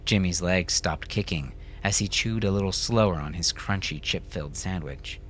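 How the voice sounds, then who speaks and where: A man's voice: deep voice, moderate pace, fairly bright tone, slight background noise. A man reading scripted fiction as an audiobook narrator.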